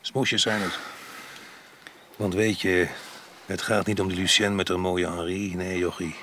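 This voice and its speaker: A male actor playing a rough, embittered man bent on revenge in a radio drama, gravelly, brusque and scornful. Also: deep voice, flat delivery, unhurried, very noisy. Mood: bitter, scornful. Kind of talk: Dutch radio drama acting.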